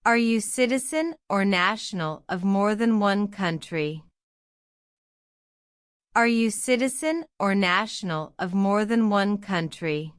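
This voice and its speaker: A woman reading interview practice questions and answers aloud, saying each line twice in a slow, deliberate drill. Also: mid-range voice, animated, clear, resonant tone, no room sound, clean studio sound. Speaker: citizenship test practice narrator.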